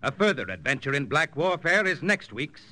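A man's voice: fairly high pitch, very animated, moderate pace, very noisy. A man narrating a 1940s radio drama in an emphatic, solemn, theatrical style. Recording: old-time radio recording with music.

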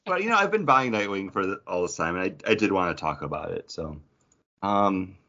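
Low-pitched man chatting on a podcast. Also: expressive, brisk, bright, forceful tone, highs cut off. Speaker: podcast co-host. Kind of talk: casual group discussion about comics.

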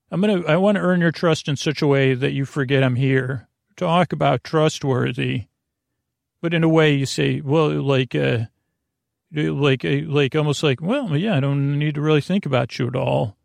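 A man hosting a sleep podcast with a meandering bedtime monologue in a creaky voice, hesitant and full of tangents and filler. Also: medium pitch, measured pace, no room sound, studio quality. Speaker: podcast host. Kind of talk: rambling bedtime monologue to lull listeners to sleep.

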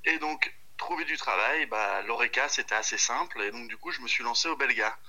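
A man's voice: mid-range voice, a little fast, sharp tone. A man in his thirties chatting casually on a phone call as a guest, hesitant and thinking aloud. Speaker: chef, podcast guest. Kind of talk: casual chat over the phone.

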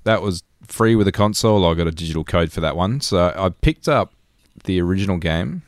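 A man's voice: low voice, animated, fairly quick, no room sound, studio quality. A man co-hosting a gaming podcast, explaining things in a casual, matter-of-fact way. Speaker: podcast co-host.

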